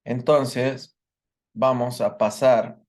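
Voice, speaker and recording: A man's voice: mid-range voice, steady tone, drawn-out words, darkish tone. A man giving a medical lecture, explaining step by step and checking that his students follow. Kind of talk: live online workshop lecture.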